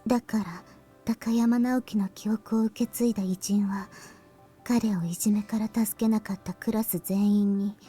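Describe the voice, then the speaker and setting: A woman playing a grave, quiet teenage schoolgirl in a Japanese visual novel, speaking in a soft, breathy, measured way. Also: medium pitch, steady tone, faint music behind, lightly acted. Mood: sombre, murmuring. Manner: measured, hushed, serious.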